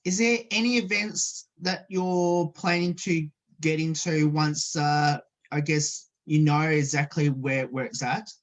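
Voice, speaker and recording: A radio sports host introduces guests and asks questions. The delivery is rambling and hesitant, full of repeated stock phrases. The voice sits at a middling pitch. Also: fairly even intonation, a little slow. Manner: rambling, keeps repeating "of course" and "obviously". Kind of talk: radio interview hosting.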